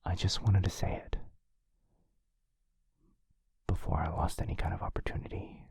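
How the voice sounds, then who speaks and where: Man playing a low, breathy, tender vampire lover. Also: little pitch movement, dry recording, heavily acted. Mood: tender confession. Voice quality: breathy, often whispered. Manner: soft, halting, earnest delivery. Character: tender, devoted male vampire lover, earnest and gentle. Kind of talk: intimate romantic ASMR roleplay.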